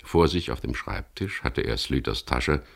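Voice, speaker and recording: A very deep, creaky male voice plays a guarded boat skipper in a radio crime drama. He is laconic and plain-spoken, telling his story in a measured way. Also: animated, heavily acted. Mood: reflective, matter-of-fact. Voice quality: gravelly, very low voice. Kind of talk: radio crime drama, first-person narration and dialogue. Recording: 1970s radio drama production.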